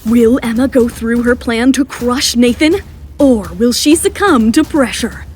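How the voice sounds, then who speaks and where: High-pitched woman narrating a drama. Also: a little slow, darkish tone, a little hiss or hum. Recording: music behind the voice.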